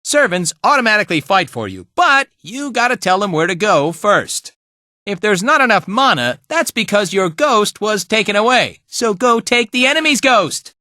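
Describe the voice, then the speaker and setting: A man's voice: sits high, big pitch swings, normal speed, sharp tone, dry recording, studio quality, exaggerated voice. An actor playing a laid-back, playful male fighter in a video game, with an easygoing, casual drawl. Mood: casual guiding. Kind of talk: animated video game voice lines.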